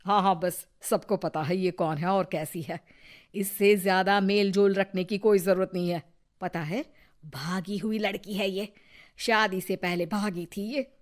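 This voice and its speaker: Slow-talking, sarcastic older mother-in-law acted by a woman. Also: medium pitch, steady tone, natural voice. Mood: disdainful. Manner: sarcastic, needling. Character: bitter, taunting older Indian mother-in-law, scornful and suspicious. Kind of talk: acted Hindi moral-story dialogue.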